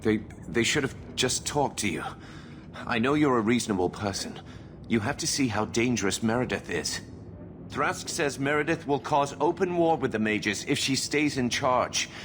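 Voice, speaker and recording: An actor playing an anxious rebel mage in a video game, pleading earnestly and nervously. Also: male voice, mid-range voice, lively intonation, normal speed, background noise, lightly acted. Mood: earnest urging. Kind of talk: dramatic video game dialogue.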